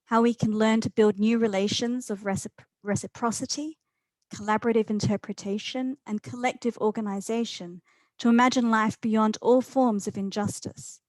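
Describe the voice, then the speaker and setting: A woman's voice: a little high, steady tone, measured pace, dry recording. A woman hosting an academic seminar. She reads a prepared talk in a composed way and then politely hands over to a panelist. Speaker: seminar host and moderator.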